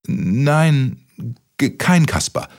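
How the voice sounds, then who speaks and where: A man's voice: lowish voice, wildly swooping pitch, measured pace, voice pushed up, heavily acted. A man voicing a small, cheeky storybook boy hero who is scared yet plucky, giving short stubborn answers. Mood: frightened. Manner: short stubborn answers, stammering when afraid.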